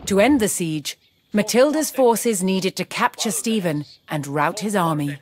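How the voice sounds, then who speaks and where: A woman delivering scripted, documentary-style campaign narration for a game in a measured, formal storytelling manner. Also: medium pitch, animated.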